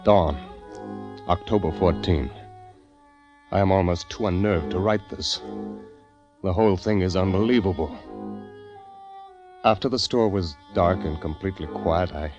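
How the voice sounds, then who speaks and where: A man's voice: deep voice, measured pace, pauses often, highs cut off. A man playing a nervous, reclusive poet narrating his diary in an old radio drama, intense and hesitant. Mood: unnerved, hushed. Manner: hesitant, breathless intensity, stammering. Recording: vintage radio drama with music behind.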